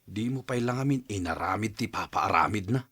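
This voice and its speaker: A man playing an excitable, questioning man of the household in a Filipino drama, with a Filipino accent. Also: fairly low pitch, a little fast, breathy edge. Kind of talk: Filipino radio-style drama acting.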